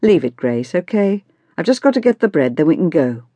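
Woman as a measured, mildly exasperated mother. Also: on the low side, very animated, mellow, slightly rough, no room sound, exaggerated voice. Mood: weary, dry. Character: orderly, patient, mildly exasperated mother of a teenager. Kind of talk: acted audiobook dialogue.

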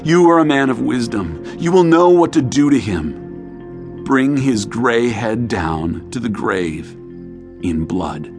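A man playing a grave old dying king, giving counsel in a solemn, deliberate way in a scripture drama. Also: medium pitch, big pitch swings. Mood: vengeful. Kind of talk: dramatized scripture audiobook acting. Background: music.

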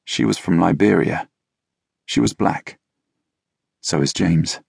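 Deep, gravelly male narrator. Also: slow pace, darkish tone, dry recording, studio quality. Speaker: audiobook narrator. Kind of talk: first-person scripted narration. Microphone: close studio mic.

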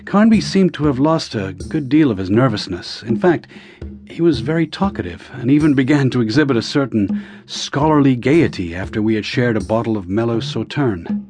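An actor playing an educated, uneasy assistant in a radio drama, with formal, literate speech that turns hesitant. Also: male voice, medium pitch, animated, normal speed, over music, lightly acted. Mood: brooding narration. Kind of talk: radio drama acting and first-person narration.

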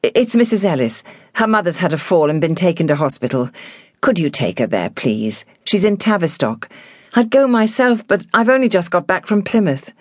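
A woman voicing an anxious, dutiful squire's daughter in an audiobook, brisk, worried and well-spoken. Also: lowish voice, big pitch swings, darkish tone, no echo, clean studio sound, pitched above the performer's own voice. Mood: worried, hurried. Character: anxious, dutiful young gentlewoman, squire's daughter, taking charge.

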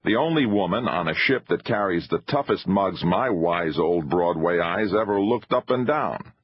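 A man's voice: sits low, lively intonation, normal speed, breathy edge, lo-fi sound, voice pushed up. A man voicing a cautious, wry theatrical agent who reasons reluctantly, in audiobook dialogue. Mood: wry, doubting.

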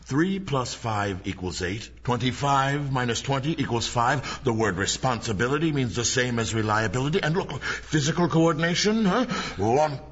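An actor playing a proud, kindly old Santa Claus in an audio drama, speaking in a courtly, emphatic, theatrical way. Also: male voice, mid-range voice, lively intonation, moderate pace, few pauses, a bit bright, lo-fi sound, lightly acted. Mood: earnest. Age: older. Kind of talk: full-cast audio drama acting.

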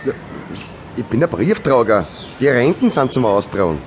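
A male actor plays a rambling postman in a radio play. His Viennese voice swings animatedly around a middle pitch, chummy and ingratiating but evasive and opinionated. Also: sing-song, normal speed, slight background noise, natural voice.

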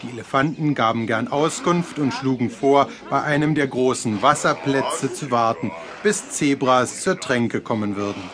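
A man narrating a children's audio story in a measured, scripted storytelling style. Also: mid-range voice, a crowd in the background, lightly acted.

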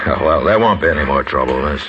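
An actor playing a calm, tough, protective Old West marshal, laconic and dry, in a 1950s radio western. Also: male voice, rumbling low, brisk. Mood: reassuring. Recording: noisy, muffled old radio recording.

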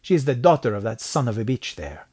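A man's voice: mid-range voice, big pitch swings, fast talker, a little mellow, slightly rough, no room sound, studio quality. A man voicing a chivalrous, concerned man in a western audiobook. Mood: warm, indignant. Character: concerned, chivalrous Mexican man in the Old West. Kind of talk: western audiobook character acting.

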